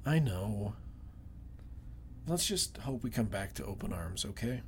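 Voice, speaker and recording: A woman's voice: very deep voice, lively intonation, a little slow, a little hiss or hum. A woman playing a cocky, blunt young starship pilot in an audio drama. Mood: resigned.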